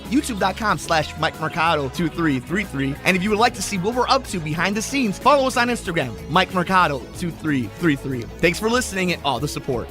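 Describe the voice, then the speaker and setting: A man hosting a sports podcast, reading promos and interviewing guests about fight sports. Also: on the high side.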